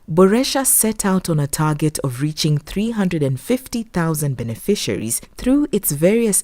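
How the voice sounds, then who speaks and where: A woman's voice: low-pitched, mellow, dry recording. A woman reading scripted documentary narration.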